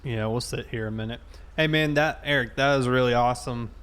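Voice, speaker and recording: A man's voice: medium pitch, fairly quick, fairly bright tone. A man casually chatting and commenting while live streaming a game, with an easygoing manner. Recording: clear background game vehicle noise. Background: game vehicle and ambience sounds.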